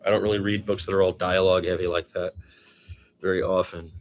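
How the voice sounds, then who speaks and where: Low-voiced man calling basketball casually. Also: flat delivery, fast talker, faint music behind. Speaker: radio play-by-play basketball commentator. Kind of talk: informal solo sports commentary with conversational asides.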